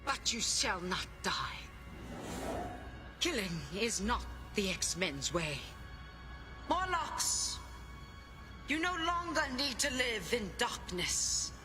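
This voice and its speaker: Noble superheroine, stern, commanding, dramatic pitch swings, animated series, loud score behind. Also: female voice, slow, long pauses. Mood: solemn. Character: regal, stern, noble superheroine team leader. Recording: loud music over cartoon soundtrack. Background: loud dramatic music.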